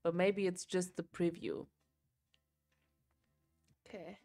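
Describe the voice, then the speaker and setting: A woman's voice: fairly low pitch, little pitch movement, slow pace, a little mellow, soft background music. Chatty young woman. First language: German. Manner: chatty, rambling, filler-heavy, laughs often. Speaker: livestream host. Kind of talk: casual chat while crocheting.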